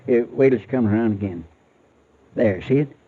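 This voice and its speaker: An actor playing a wary, superstitious country roadside proprietor, folksy and foreboding, in 1940s radio drama style. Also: male voice, on the low side, moderate pace, lightly acted. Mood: ominous. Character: ominous, superstitious rural roadside proprietor, wary local man. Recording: noisy, narrowband old radio recording.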